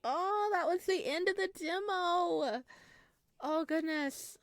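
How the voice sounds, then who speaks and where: A woman's voice: very high voice, slow, no room sound. A woman hosting a gaming video, reading aloud and reacting expressively in a casual way. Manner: expressive, exclamatory. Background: soft game music.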